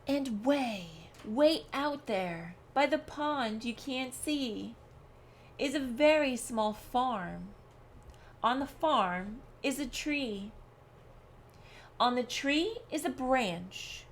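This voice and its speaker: A woman's voice: sits high, lively intonation, very slow, slight background noise, heavily acted. A woman voicing a proud, competitive male bear in a storybook, boastful and emphatic. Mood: smug boasting. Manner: boastful, emphatic, growling at times. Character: proud, competitive male bear bragging about his nose.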